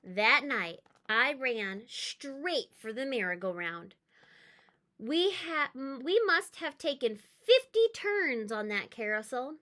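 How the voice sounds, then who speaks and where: A woman narrates a children's picture book in a bright, fairly high voice. She takes her time and leans into the words with animated, expressive emphasis. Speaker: read-aloud storyteller, teacher.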